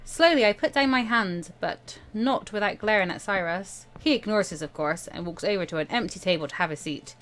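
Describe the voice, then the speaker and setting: A woman hosting a let's play, reading a visual novel aloud in an expressive, playful, dramatic way. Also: medium pitch, a little fast, few pauses.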